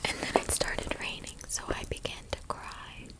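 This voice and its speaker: A woman speaking in a gentle, affectionate, breathy whisper as an ASMR host reading a children's story. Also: normal speed, low-quality recording.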